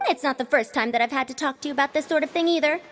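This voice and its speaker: A woman's voice: high voice, rapid-fire, fairly bright tone, faint music behind. An actress playing a seasoned woman scholar who lectures younger colleagues sternly. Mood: lecturing. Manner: lecturing, reproachful, firm.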